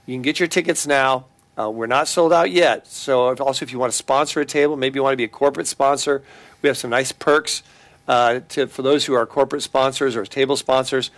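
A man's voice: mid-range voice, fairly even intonation, a little fast, a bit bright. A middle-aged man with a Californian accent, an attorney talking earnestly on a talk show, making announcements and telling a case story with frequent restarts. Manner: earnest, repeats web address, many restarts.

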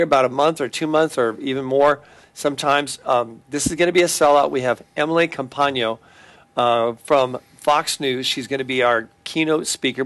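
A man's voice: fairly high pitch, fairly bright tone. A middle-aged man with a Californian accent, an attorney talking earnestly on a talk show, making announcements and telling a case story with frequent restarts. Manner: earnest, repeats web address, many restarts.

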